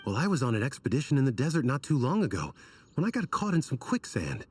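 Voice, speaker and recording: An actor playing an earnest, troubled and apologetic desert scholar in a video game, wordy and theatrical in manner. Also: male voice, medium pitch, huge pitch swings, quick pace, recorded from a distance, soft background music. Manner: wordy, self-conscious, theatrical.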